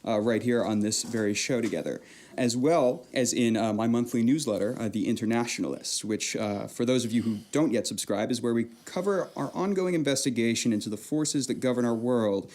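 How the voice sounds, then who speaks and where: A man playing a flustered, earnest investigative guest on a radio play talk show, halting and circling his point. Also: medium pitch, a little mellow, natural voice. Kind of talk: radio play interview acting. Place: live stage performance before a small audience.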